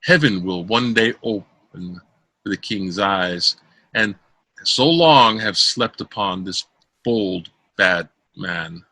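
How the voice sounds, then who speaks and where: A man reading Shakespeare verse drama aloud as an amateur, in a theatrical, declaiming manner with a husky voice. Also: deep voice, slow, sharp tone, no echo. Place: close dry room, online call.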